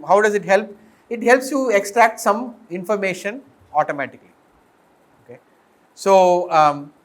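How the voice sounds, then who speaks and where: A man's voice: high voice, a bit bright. A middle-aged man with an Indian accent giving an informal technical lecture as a professor, explaining step by step and posing questions to the class. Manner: explanatory, often posing questions and checking understanding. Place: indoor lecture room with green chalkboard. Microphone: fixed or worn mic, voice drifting as he turns.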